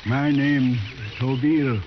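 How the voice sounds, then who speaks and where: Man playing proud old scout, halting broken English. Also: medium pitch, little pitch movement, lightly acted. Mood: dignified, hopeful. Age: older. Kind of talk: 1950s radio western acting. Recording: dull, hissy 1950s radio recording.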